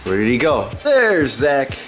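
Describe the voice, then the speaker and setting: A man in his twenties, sick and sounding a bit deeper than usual, chatting upbeat to a handheld camera in his home garage. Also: huge pitch swings, unhurried, fairly bright tone, faint music behind. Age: 20s. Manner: chatty and upbeat, joking with a friend. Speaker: car vlogger. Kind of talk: casual vlog talk to camera. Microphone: handheld camera close to face.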